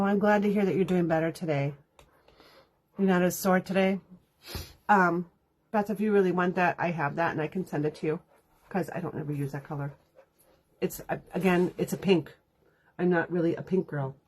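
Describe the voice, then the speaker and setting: A woman's voice: on the low side, fairly quick, long pauses, a little mellow, breathy edge. A congested woman with a nasal sound, chatting casually and rambling while teaching a card-making class live from home. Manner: chatty, rambling, laughs often. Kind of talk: casual livestream chat.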